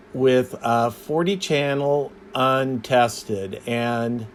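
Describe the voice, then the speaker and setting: A man hosting a hobbyist video, talking casually through a product review in a plainspoken, halting, matter-of-fact way. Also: medium pitch. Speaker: radio hobbyist video host. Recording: faint background noise.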